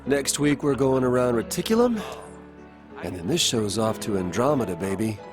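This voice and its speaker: A man's voice: medium pitch, expressive, moderate pace, close to an everyday voice. An actor playing an earnest, casual, slightly goofy man in love in a video game cutscene. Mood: excited, proud. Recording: music behind the voice.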